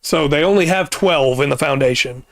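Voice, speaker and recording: A man hosting a casual podcast, explaining things at length with pauses and restarts, his voice getting worn. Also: a little high, no echo. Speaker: podcast host.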